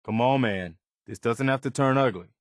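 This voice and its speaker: An actor playing an earnest, steadfast but weary militia officer in a video game, sincere and plainspoken. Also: male voice, mid-range voice, normal speed, dry recording, studio quality, close to an everyday voice. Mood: calming, placating. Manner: sincere, plainspoken, morally upright. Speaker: game companion character.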